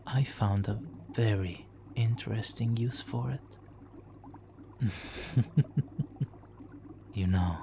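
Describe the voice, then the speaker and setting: A man playing a smug, flirtatious supervillain in an ASMR roleplay, teasing and mock-polite, with savoring pauses. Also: lowish voice. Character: smug, flirtatious male supervillain toying with a captured hero. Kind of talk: ASMR roleplay, slow intimate monologue. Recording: faint background noise.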